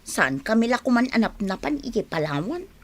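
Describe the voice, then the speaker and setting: A young newlywed wife with an Ilocano accent, complaining and flustered in radio comedy-drama style. Her high voice jumps around animatedly over clearly audible noise. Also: moderate pace. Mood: apologetic. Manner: expressive, complaining.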